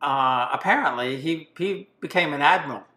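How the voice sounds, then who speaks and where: A man in his seventies hosting an interview, asking questions in a relaxed, chatty way, with plenty of fillers and restarts. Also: on the high side, normal speed, roomy sound. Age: 70s. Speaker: podcast host, interviewer. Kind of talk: casual interview.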